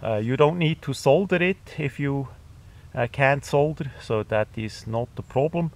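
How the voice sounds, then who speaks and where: A man explains things informally and hands-on, in halting, phrasing. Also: mid-range voice. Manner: halting, hesitant phrasing with non-native grammar. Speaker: radio hobbyist presenter.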